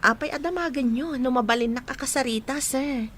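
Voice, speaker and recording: A woman's voice: high voice, brisk, fairly bright tone, slight background noise. A woman playing a poor squatter woman in a radio-style drama, polite and deferential yet guarded, asking questions. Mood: wary, polite.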